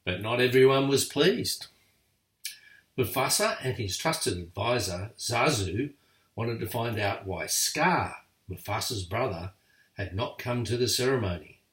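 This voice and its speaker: Older man, lively storybook reader. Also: medium pitch, measured pace. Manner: lively, expressive read-aloud. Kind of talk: bedtime story reading. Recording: echoey room, far from mic.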